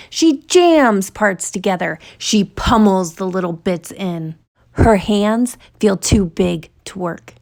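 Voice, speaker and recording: Slow, creaky-voiced woman reading a storybook. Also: medium pitch. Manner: expressive, deliberate storytelling with drawn-out emphasis. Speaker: storytime reader. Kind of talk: children's picture book read-aloud.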